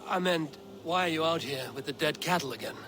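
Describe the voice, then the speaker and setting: An actor playing a level-headed, battle-worn Viking warrior in a video game, measured and sober. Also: male voice, fairly high pitch, noisy background, lightly acted. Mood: questioning. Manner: measured, sober, archaic phrasing. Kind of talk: dramatic video game acting.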